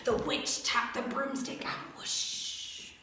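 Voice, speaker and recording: A woman teacher reading a rhyming picture book aloud to children, expressive and animated. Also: takes it slowly, distant mic, a little hiss or hum. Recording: echoey room.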